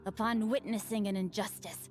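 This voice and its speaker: Polished young scholar for a game, male actor, light high voice, theatrical and eloquent, music behind. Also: fairly even intonation, moderate pace, lightly acted. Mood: composed. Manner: eloquent, formal, self-assured. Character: refined, bookish young gentleman, calm and theatrical. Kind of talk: anime video game voice acting.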